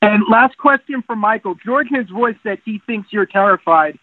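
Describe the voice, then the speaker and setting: Male reporter, high register, prepared interview questions, narrow telephone sound with little room. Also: normal speed, no room sound. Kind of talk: prepared press questions on a conference call. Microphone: phone. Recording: tinny narrowband phone line.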